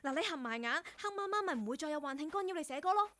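An actress playing a curious, excitable young female radio intern in a radio drama. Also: high voice, rapid-fire. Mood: eager, teasing. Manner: excitable, teasing, exclamatory. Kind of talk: Cantonese radio drama acting.

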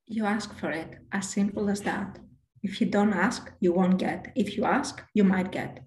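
A woman guest giving careers advice in a measured, reflective way, often correcting herself mid-sentence, with a low voice. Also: a little mellow, roomy sound, rough recording.

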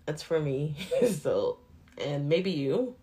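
A woman's voice: sits low, very animated, slow, warm tone. A woman in her late twenties with a Nigerian accent that drifts toward Canadian, chatting candidly and rambling on her own podcast. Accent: Nigerian mixed with Canadian. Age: 20s. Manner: rambling and candid, with laughs and swearing. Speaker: podcast host. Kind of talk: casual solo podcast chat.

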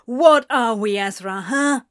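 A woman voicing a volatile young man who taunts and shouts. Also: fairly high pitch, takes it slowly, no echo, clean studio sound. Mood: shouting. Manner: taunting and loud.

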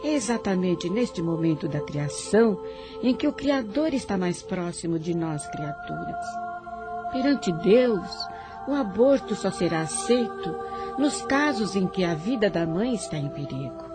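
An actress playing a solemn, protective spirit guide in a religious radio drama, preaching in formal, old-fashioned phrases. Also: female voice, fairly low pitch, big pitch swings, a little slow. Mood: solemn, admonishing. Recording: music under the voice.

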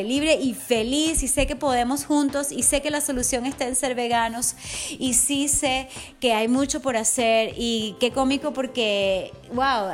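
A middle-aged woman hosting a wellness podcast, giving an enthusiastic, affectionate, chatty motivational monologue. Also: on the high side. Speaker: wellness coach podcast host.

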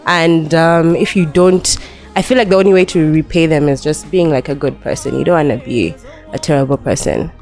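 Young female podcast guest, congested and nasal, chatty and laughing, low-pitched, faint music bed. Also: brisk, a little mellow. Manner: giggly, chatty, laughs often. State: nasal from a cold. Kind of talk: casual podcast chat.

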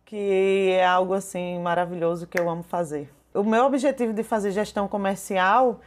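A woman's voice: mid-range voice, fairly even intonation, normal speed. Paraíban woman in her thirties telling stories. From João Pessoa, Paraíba, Brazil. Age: 30s. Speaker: businesswoman. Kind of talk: interview testimony.

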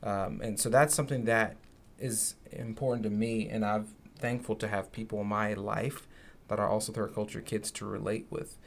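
Earnest young man, low-voiced. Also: fairly even intonation, normal speed, slight background noise. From Zimbabwe. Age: young adult. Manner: earnest, reflective, many fillers. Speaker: podcast co-host. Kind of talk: casual podcast conversation.

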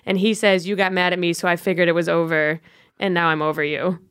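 A woman's voice: lowish voice, flat delivery, quick pace, a bit bright, clear, resonant tone. A woman in her thirties, a comedian guest telling a story bluntly and profanely in casual podcast chat. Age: 30s.

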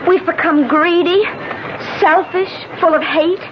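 A woman's voice: extremely high pitch, steady tone, unhurried. An actress playing a desperate, insistent woman held captive, in 1970s radio drama style. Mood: urgent. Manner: emotional, insistent. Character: desperate, pleading female captive in an eerie fantasy forest. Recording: noisy, muffled 1970s radio broadcast.

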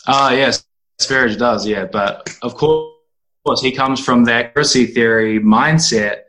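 A man's voice: mid-range voice, measured pace, a bit bright, low-quality recording. A young man with a New Zealand accent, chatting informally as a guest in a casual interview. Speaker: interview guest, animal rights activist.

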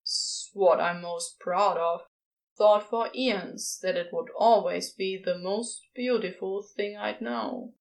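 A woman voicing a gruff, lovestruck male celestial being, confessing in halting, casual, clipped speech for audio fiction. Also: mid-range voice, animated, rough recording. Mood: sincere, vulnerable.

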